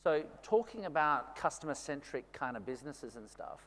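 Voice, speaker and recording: A man moderating a conference panel, enthusiastic and quick to affirm the panelists. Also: fairly high pitch, very animated, fairly bright tone. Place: large conference stage. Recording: long hall reverb.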